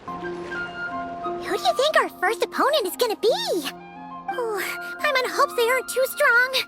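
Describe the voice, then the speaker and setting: A woman's voice: extremely high pitch, wildly swooping pitch, measured pace, heavily acted. A woman voicing a small, cheerful childlike fairy companion in a video game, exclaiming and protective. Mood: curious, concerned. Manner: exclaiming, refers to self in third person. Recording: loud music behind the voice.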